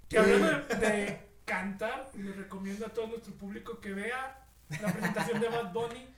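A man's voice: high voice, moderate pace, fairly bright tone, slight background noise. A young Mexican man co-hosting a casual music podcast, rambling with enthusiasm. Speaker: podcast co-host. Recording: echoey room, distant mic, crowd chatter.

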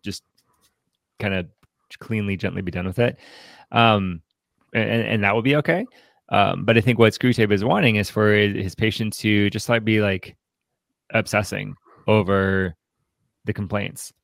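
A man hosting a podcast, discussing in a casual way, haltingly, often repeating words and saying "like". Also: lowish voice, gravelly, dry recording, clean studio sound. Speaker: podcast host.

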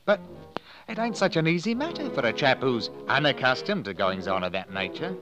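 A man's voice: mid-range voice, very animated, a little fast, dull audio, over music, lightly acted. An actor playing a timid, fumbling clerk who finds he can work miracles, in a 1950s radio drama. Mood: wondering. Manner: hesitant, fumbling, folksy.

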